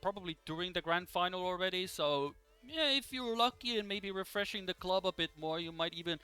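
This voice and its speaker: A man hosting an esports broadcast in a casual live style, with hesitant, halting phrasing. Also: high-pitched, lively intonation, sharp tone, smooth. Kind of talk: casual live presenting and commentary. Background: music in the background.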